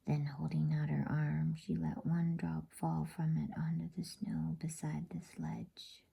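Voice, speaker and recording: A woman narrating a bedtime story in ASMR style, whispering in a soft, soothing, unhurried way. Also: deep voice, flat delivery, gravelly, breathy edge.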